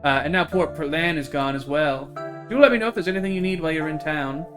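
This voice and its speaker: Guy doing a sweet folksy old lady from a spooky game, highish voice, gracious n chatty, music under it. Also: fast, natural voice. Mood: gracious, welcoming. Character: kindly older woman, genteel small-town Southern host.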